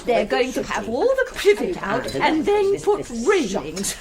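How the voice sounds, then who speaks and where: Casting: self-important small-town lady, radio drama, high and animated, complaining over a crowded room. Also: measured pace, crowd noise. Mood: gossipy complaint. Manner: gossipy, affected, full of herself. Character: chattering well-to-do small-town society woman, complaining. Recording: voices of a busy gathering behind.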